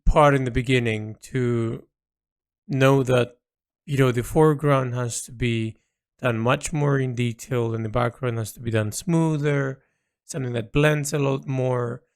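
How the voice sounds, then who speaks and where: A man giving informal audio log commentary on his own artwork, speaking in a halting, reflective way with pauses mid-phrase. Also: mid-range voice. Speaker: artist narrating own project log.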